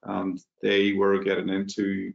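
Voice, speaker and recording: A man's voice: low voice, monotone, unhurried, creaky, roomy sound, muffled recording. A man with a Northern Irish accent, talking as a guest on a webinar in a conversational way with many fillers and restarts. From Northern Ireland. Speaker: guest speaker, packaging company manager. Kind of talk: webinar talk.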